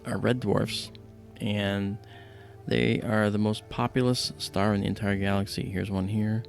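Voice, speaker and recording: A man with a deep voice from a damaged vocal cord, hosting a livestream in casual, explanatory chat with animated storytelling. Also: unhurried, vocal fry, soft background music. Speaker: livestream host and astronomy presenter.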